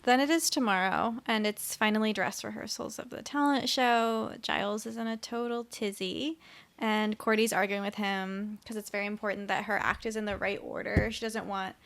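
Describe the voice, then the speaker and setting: A woman co-hosting a podcast, recapping a TV episode in casual, animated, conversational speech. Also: medium pitch, normal speed, vocal fry. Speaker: podcast co-host.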